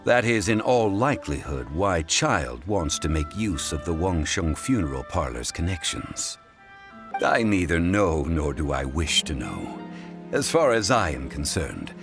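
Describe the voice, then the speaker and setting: A man playing a composed, scholarly funeral consultant in a video game, speaking formally and eloquently in measured phrases. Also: sits low, sing-song, over music, heavily acted. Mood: calm, reflective. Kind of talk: scripted video game character dialogue.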